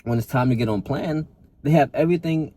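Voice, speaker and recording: A man's voice: a little high, brisk, dark tone. A young adult man talking casually to camera as a fitness coach, earnest and motivating, often repeating and restarting words.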